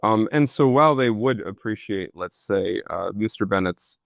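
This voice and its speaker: A low-pitched man in his thirties speaks over a video call. He answers questions in a halting, thoughtful way, full of fillers and restarts. The sound is dry and close. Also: lively intonation, gravelly, no room sound. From United States. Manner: hesitant, many fillers and restarts. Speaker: historian guest. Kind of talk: conversational interview answers.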